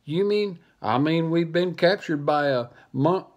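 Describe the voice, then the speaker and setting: A man's voice: on the high side, a little slow, a little mellow, muffled recording, lightly acted. A man doing a timid, whiny little dog. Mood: worried. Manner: anxious, whiny.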